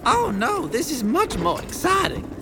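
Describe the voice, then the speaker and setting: Gushing, excitable young pigeon lover played by a woman. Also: sits high, a little slow, noisy background. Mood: excited. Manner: gushing, rambling, easily flustered. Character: excitable, chatty, theatrical young man who adores pigeons. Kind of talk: audio drama acting.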